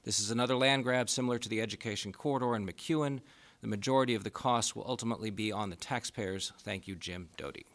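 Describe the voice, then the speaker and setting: A man at a city council meeting reading a letter aloud in a plain, even way. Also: lowish voice, fast talker.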